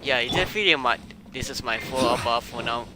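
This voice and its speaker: Halting male game streamer, very noisy mic. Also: medium pitch. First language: not English. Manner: halting, stumbling non-native English. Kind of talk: casual live gameplay commentary. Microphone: uncovered microphone prone to breath pops. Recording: very noisy uncovered mic with breath blasts.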